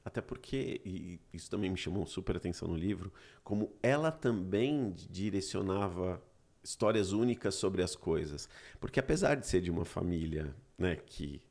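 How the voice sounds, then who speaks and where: Low gravelly man talking books. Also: expressive, moderate pace, darkish tone. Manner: conversational, emphatic asides to listeners. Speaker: book club co-host. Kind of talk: casual book discussion.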